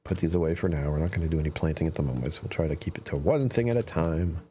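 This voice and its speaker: Low-voiced man streaming a game. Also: very fast, warm tone, a little hiss or hum. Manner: chatty, self-talking, trails off into whispers. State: slightly strained voice. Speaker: live streamer. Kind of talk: casual gameplay commentary.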